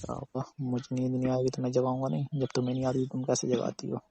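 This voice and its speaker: A man with a husky, gravelly voice chatting casually and intimately on a phone call, coaxing and reassuring. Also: medium pitch, monotone, quick pace, dark tone. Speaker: romantic partner on a phone call.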